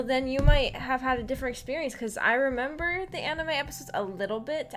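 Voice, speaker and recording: A woman with a fairly high voice hosts a relaxed livestream. She talks informally to her viewers, giggly and rambling, often restarting her thoughts. Faint music plays behind her. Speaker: livestream host of a visual novel book club. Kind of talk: casual livestream chat with viewers. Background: background music.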